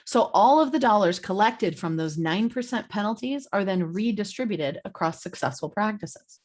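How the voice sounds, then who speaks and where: A woman's voice: mid-range voice, expressive, moderate pace, darkish tone. A woman giving an explanatory slide presentation as a webinar presenter, in a conversational way. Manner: conversational, explanatory, checks in with "right?". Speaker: webinar presenter, program expert.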